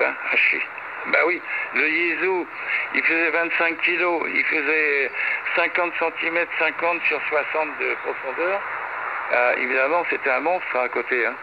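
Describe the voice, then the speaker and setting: A man's voice: on the high side, vocal fry. An older man chatting casually over amateur radio, rambling and repeating himself with frequent tag words. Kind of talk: casual ham radio chat with call signs. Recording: noisy, dull amateur radio link. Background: radio noise.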